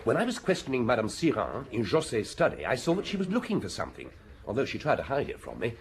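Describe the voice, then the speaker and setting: Eager young British detective, very animated. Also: male voice, medium pitch, fast. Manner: keen, respectful. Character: eager, deferential young male police detective. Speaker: actor in a radio drama. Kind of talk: British radio drama acting. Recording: noisy radio drama recording.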